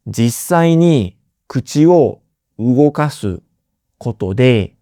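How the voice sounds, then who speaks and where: A man hosting a language-learning podcast, explaining things slowly and simply, repeating key words and asking listeners friendly questions. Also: mid-range voice, lively intonation, warm tone, no echo, studio quality. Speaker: Japanese teacher, podcast host.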